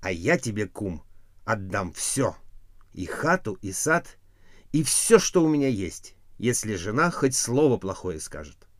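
A man's voice: fairly low pitch, sing-song, measured pace, fairly bright tone, no echo, clean studio sound. A man voicing a naive, easygoing peasant husband who tells boastful stories in a folk tale. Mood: confident, boastful.

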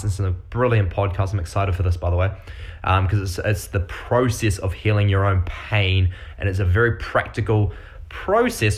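A young man with a New Zealand accent talks on his own podcast in a low voice. He is eager and upbeat but rambles, doubling back on words and filling gaps with ums and uhs. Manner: rambling, enthusiastic, many fillers and restarts. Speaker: podcast host. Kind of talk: casual solo podcast talk.

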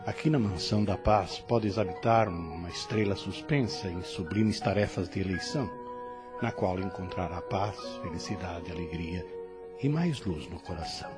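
A gentle angelic messenger of light, played by a man in a radio drama, speaking with solemn tenderness in a lowish voice. Music plays clearly behind him. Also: normal speed, natural voice. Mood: solemn kindness. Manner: solemn, tender, formal address.

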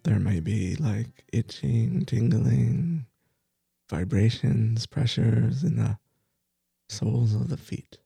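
Male meditation teacher, very slow and soothing, dark husky tone, guides a bedtime body scan. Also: medium pitch, dry recording, clean studio sound, faint music behind. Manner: slow, soft, soothing guidance with repeated phrases.

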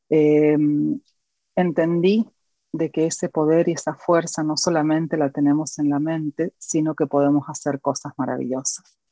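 A woman from Argentina with an Argentine accent, a guest educator and author speaking earnestly in an interview, pausing often with fillers. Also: low voice, mellow, no echo. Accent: Argentine Spanish. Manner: earnest, reflective, with many pauses and fillers.

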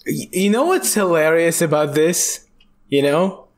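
A man's voice: on the high side, expressive, a little slow. A man co-hosting a podcast, chatting casually with friends in a brash, profane, teasing way. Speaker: podcast co-host and video editor.

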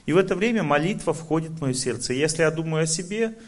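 A man's voice: fairly high pitch, lively intonation, fast talker. A man speaking as a spiritual lecturer, explaining his teaching step by step to an audience and checking that listeners follow. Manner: didactic, explaining step by step with rhetorical check-ins.

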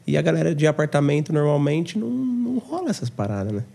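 A man hosting a podcast from home, chatting casually in an animated, slangy way and often talking about himself. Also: fairly high pitch, fast talker, gravelly. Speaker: podcast host. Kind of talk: casual interview chat.

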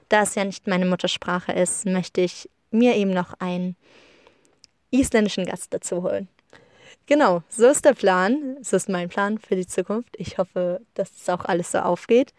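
A young woman hosting a personal podcast, talking freely and warmly rambling without notes, often correcting herself mid-sentence. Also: medium pitch, clear, resonant tone, no room sound. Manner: chatty, rambling, self-correcting, encouraging. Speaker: podcast host. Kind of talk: unscripted personal podcast monologue. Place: living in Iceland.

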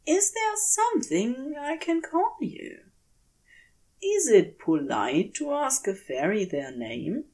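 A woman voicing a courteous, earnest gentleman who sounds hesitant and slightly flustered, in a story reading. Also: high voice, big pitch swings, slow, pitched above the performer's own voice, exaggerated voice. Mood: polite, flustered.

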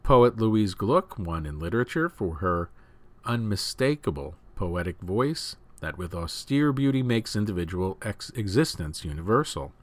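A man's voice: lowish voice, big pitch swings, moderate pace, no room sound. A man reading scripted news stories as the host of a news program.

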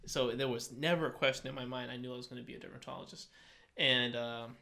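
Guy on a podcast, bright sharp voice, telling his story casually, keeps backing up to rephrase. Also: mid-range voice, steady tone, fast, vocal fry. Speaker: podcast guest. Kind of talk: casual chat, personal storytelling.

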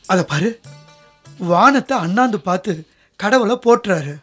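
A man's voice: sits high, measured pace, husky, full character voice. A man playing eager, talkative disciple Peter. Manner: lively, colloquial and eager. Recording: radio drama with soft music underneath.

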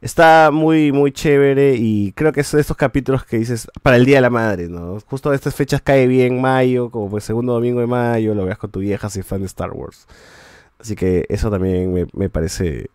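A man's voice: medium pitch, moderate pace, darkish tone, smooth, no room sound. Man, casual podcast review, restarts a lot, ends lines with "right?", dry room. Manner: rambling, restarts often, tags sentences with a questioning "right?". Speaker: podcast host reviewing shows.

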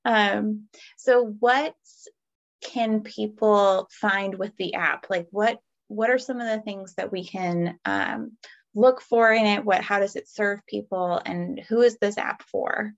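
A woman's voice: mid-range voice, slow, vocal fry, muffled recording. A woman hosting a podcast interview, chatting casually and affirming her guest often. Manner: warm, affirming, frequent agreeing murmurs. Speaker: podcast host.

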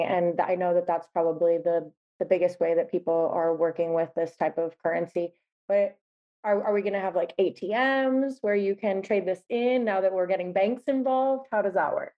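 A woman's voice: on the low side, moderate pace. Curious woman interviewer, dull-sounding recording. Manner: curious, asks questions with small hesitations. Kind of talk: interview questions.

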